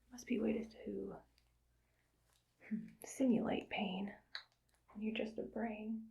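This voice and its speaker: A woman voicing a cheerfully callous female evil scientist in an ASMR roleplay, speaking in a soft close whisper and musing aloud as she works. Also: mid-range voice, steady tone, takes it slowly, gravelly, muffled recording, slight background noise. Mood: musing, calm.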